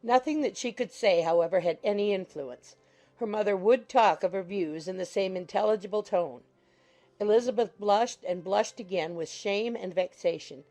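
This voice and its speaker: A woman narrating an audiobook in a measured, formal storytelling manner. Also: medium pitch, mellow, faint music behind. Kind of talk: scripted literary narration.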